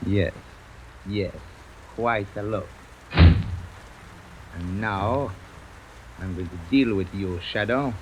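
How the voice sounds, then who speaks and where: An actor playing an imperious, scornful crime boss in an old-time radio drama, curt and domineering. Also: male voice, lowish voice, drawn-out words, pauses often, very noisy. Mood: tense, threatening.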